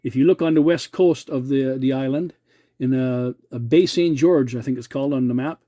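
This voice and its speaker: A middle-aged or older man chatting casually over CB radio in a polite, measured, hesitant way, often repeating words. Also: mid-range voice, warm tone, no room sound, clean studio sound. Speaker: amateur radio operator hosting a video channel. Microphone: cell phone filming the radio.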